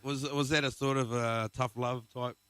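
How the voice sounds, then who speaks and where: A man's voice: medium pitch, level tone, normal speed, fairly bright tone, clear, resonant tone, dry recording. A man hosting a casual podcast interview, asking teasing, joking questions. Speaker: host.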